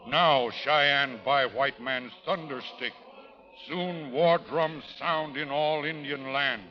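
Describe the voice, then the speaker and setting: Man playing a slow-speaking, solemn war chief in radio drama. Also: medium pitch, close to an everyday voice. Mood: solemn, defiant. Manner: solemn, halting broken English. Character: proud, vengeful Cheyenne war chief. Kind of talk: 1930s radio drama acting. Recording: muffled old radio recording, long reverb.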